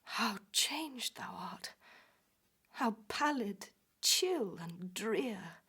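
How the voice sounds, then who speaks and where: An actress plays a young medieval maiden, tender, frightened and lovelorn. Her voice is very breathy and half-whispered, and she speaks her audio-drama verse very slowly, in plaintive, pleading tones. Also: mid-range voice, lively intonation, sharp tone, heavily acted. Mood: sorrowful wonder.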